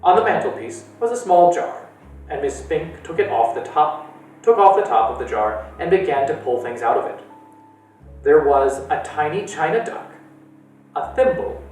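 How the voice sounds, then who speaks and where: A man reading a novel aloud to children at a library storytime, in a steady storytelling way. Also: far from the mic. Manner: steady storytelling with lively pitch. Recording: echoey room from afar with faint music.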